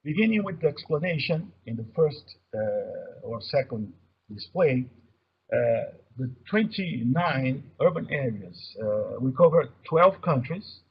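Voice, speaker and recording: A very husky, breath-roughened man speaks with a Brazilian accent, as a native Portuguese speaker. He explains his material in a halting webinar presentation, often repeating words. The audio is somewhat muffled and lacking in treble. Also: medium pitch, expressive. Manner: halting, repeats words while searching for phrases. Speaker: webinar presenter, mobility observatory coordinator. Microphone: online webinar connection.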